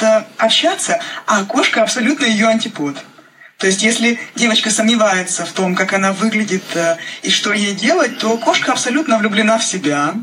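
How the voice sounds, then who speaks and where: A woman's voice: medium pitch, fairly bright tone. Hesitant woman interviewee over faint noise. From Ukraine. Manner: hesitant, searching for words. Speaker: playwright being interviewed. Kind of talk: spontaneous interview talk.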